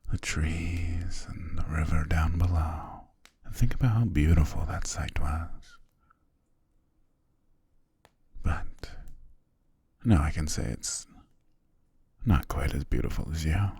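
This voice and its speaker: A man playing a doting, possessive immortal vampire lord in an intimate ASMR romance roleplay, husky, tender and softly commanding. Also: no room sound, full character voice. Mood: adoring, possessive. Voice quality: breathy, husky low whispering.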